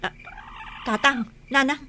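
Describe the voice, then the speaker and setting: An actress playing an inquisitive, chiding daughter of a village household in a comedy radio drama. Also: female voice, high-pitched, steady tone, slow pace, bright, forceful tone, a little hiss or hum, heavily acted. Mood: curious.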